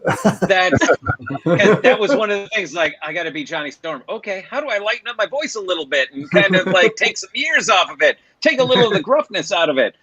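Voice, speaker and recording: Man in his forties, podcast guest, bright tense tone, a bit high, dry muffled line. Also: very animated, husky, dry recording. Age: 40s. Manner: quick, filler-heavy speech. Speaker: guest voice actor, teacher by day. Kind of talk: casual chat. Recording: dull, dry remote mic.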